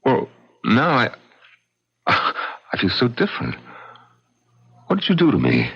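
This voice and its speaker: An actor playing an angry, wronged man in an old-time radio mystery, with a blustery, halting and emphatic delivery. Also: mid-range voice, wildly swooping pitch, creaky, fairly bright tone, breathy edge, full character voice. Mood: bewildered, softened. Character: angry, wronged man, softening into bewildered sincerity. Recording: dull, band-limited old radio recording.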